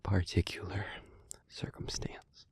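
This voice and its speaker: A man playing a brooding, devoted demon in an intimate audio roleplay, speaking in solemn, halting, measured phrases. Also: darkish tone, in a whisper, lightly acted. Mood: somber, earnest.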